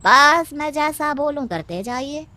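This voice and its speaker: A woman's voice: high-pitched, lively intonation, measured pace, bright, forceful tone, pitched above the performer's own voice, heavily acted. A woman voicing a sly, cunning male adviser in a cartoon story, with an oily, drawling delivery. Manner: oily, drawling, chuckling slyly.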